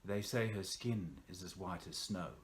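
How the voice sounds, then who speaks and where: Man as an ominous, low-voiced box-office woman. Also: lively intonation, measured pace, a little hiss or hum. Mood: grave, ominous.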